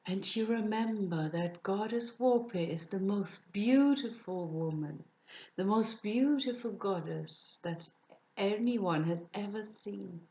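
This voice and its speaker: A woman leading a guided meditation, speaking softly and soothingly, often dropping into breathy whispers. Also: mid-range voice, lo-fi sound. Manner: slow, soft, soothing with breathy whispered phrases.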